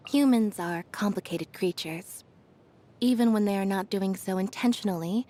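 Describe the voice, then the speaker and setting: A woman voicing a reserved, observant young magician's assistant in a video game, understated and deadpan. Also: mid-range voice, close to an everyday voice. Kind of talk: scripted video game character voice lines. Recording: music behind the voice. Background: music.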